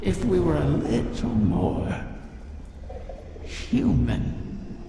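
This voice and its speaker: A man's voice: on the high side, wildly swooping pitch, extremely slow, vocal fry, noisy background, exaggerated voice. A man voicing an ancient, cunning wish-dragon in a video game, brooding and theatrical, in a very reverberant recording. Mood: wistful. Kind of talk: scripted video game monologue. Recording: heavily reverberant, music behind.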